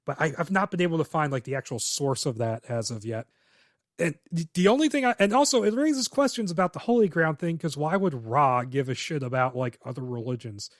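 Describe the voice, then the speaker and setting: A man's voice: fairly high pitch, fairly quick, no echo, clean studio sound. A man co-hosting a podcast, recapping a film script plot in detail and giving blunt, opinionated takes with casual swearing. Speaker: podcast co-host.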